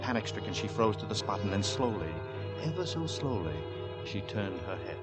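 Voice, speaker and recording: A man narrating a 1940s radio suspense tale in a deliberate, ominous manner. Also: fairly low pitch, very animated. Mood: tense, ominous. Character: grave male narrator of a Victorian suspense tale. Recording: vintage 1940s radio drama, music underneath.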